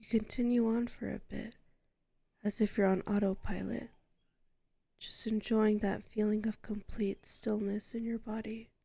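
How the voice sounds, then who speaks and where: A woman playing a soothing librarian hypnotist in a sleep ASMR roleplay, speaking softly and breathily in a half-whisper with lingering pauses. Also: mid-range voice, level tone, gravelly, slightly rough, dry recording. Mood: soothing, hypnotic. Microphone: close microphone.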